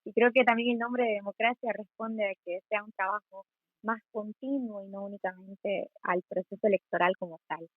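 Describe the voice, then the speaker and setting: A young woman in her twenties from Honduras with a Honduran accent, a student activist answering interview questions in a measured, thoughtful way. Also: mid-range voice, fairly even intonation, no echo. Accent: Honduran Spanish. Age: 20s. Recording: tinny phone-like call audio.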